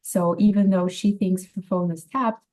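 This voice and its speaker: A woman's voice: mid-range voice, steady tone, moderate pace, warm tone. A woman giving casual, supportive critique as a workshop participant, explaining her ideas through examples.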